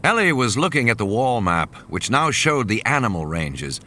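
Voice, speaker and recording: A man's voice: mid-range voice, sing-song, moderate pace, pushed and bright, slight background noise. A man reading scripted audiobook narration as the narrator of a dramatic story. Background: background music.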